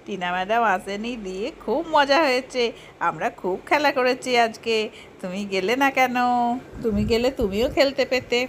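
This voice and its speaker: Lady doing a playful little school kid doll, chatty, high voice, noisy behind. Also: normal speed, natural voice.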